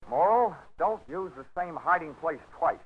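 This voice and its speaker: An actor playing a tough, sardonic American private investigator in a 1940s radio detective drama, brusque and wisecracking. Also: male voice, on the high side, lively intonation, normal speed, breathy edge. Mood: forceful, threatening. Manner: wisecracking, hard-boiled, brusque. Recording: noisy, tinny 1940s radio recording.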